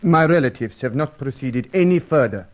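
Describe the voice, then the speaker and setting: Actor as formal, deferential manservant, mid-pitched; dull vintage radio recording. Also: male voice, expressive, normal speed, a little mellow, lightly acted. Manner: formal, deferential, says Senor. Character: polite South American servant, formal and deferential.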